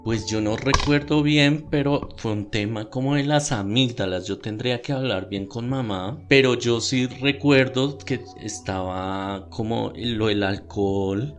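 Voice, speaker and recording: A man's voice: medium pitch, a little slow, few pauses. A man with a Bogotá Colombian accent telling stories and explaining in a conversational, slightly hesitant way on a podcast. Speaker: podcast panelist. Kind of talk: conversational storytelling. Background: music.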